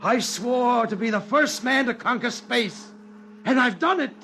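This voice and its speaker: A noisy vintage radio drama recording of a domineering industrialist. He speaks in a high-pitched, deliberate voice with bombastic, imperious force, like someone used to buying and commanding everything. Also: vocal fry, bright, forceful tone, exaggerated voice. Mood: triumphant, boastful. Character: ruthless, boastful male industrialist, domineering and power-hungry. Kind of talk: 1950s radio drama acting.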